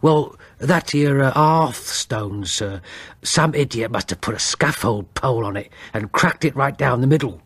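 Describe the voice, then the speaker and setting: Give this character , played by a male narrator, the husky voice of a working builder's foreman. He is deferential and apologetic, stumbling and hesitating as he explains himself to his better. The sound is dry and close. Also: mid-range voice, animated, a bit bright, no echo, heavily acted. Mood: hesitant, apologetic. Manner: halting, apologetic, with hems and haws.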